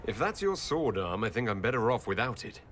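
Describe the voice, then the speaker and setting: An actor voicing the player's human hero in a video game, measured and dry. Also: male voice, fairly low pitch, very animated, a bit bright, slight background noise. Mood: calm. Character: composed human male leader, the player hero.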